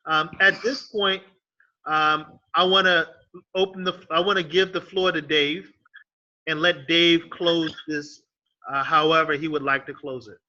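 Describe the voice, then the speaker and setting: A man moderating an online teachers' discussion, animated and stumbling over his first words, heard over a video call. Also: a little high, a little slow, highs cut off. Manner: animated, stammers on starts.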